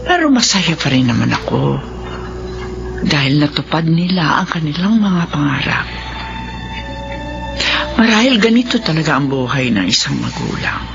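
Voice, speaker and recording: A woman's voice: deep voice, sing-song, moderate pace, creaky, pauses often, heavily acted. An actress playing a lonely, forgetful, tender mother of sixty in a Filipino radio drama, sighing and drawing out her words. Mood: wistful, melancholy. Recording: dull lo-fi sound under loud music.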